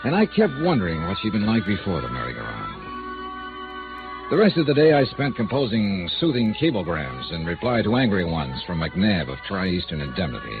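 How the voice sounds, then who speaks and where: Low-voiced hard-boiled radio detective. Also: male voice, big pitch swings, moderate pace. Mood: reflective. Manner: wry, clipped, sardonic delivery. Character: hard-boiled male freelance insurance investigator, wry and world-weary. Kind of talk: 1950s radio noir acting and narration. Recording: old narrow-band radio recording with music.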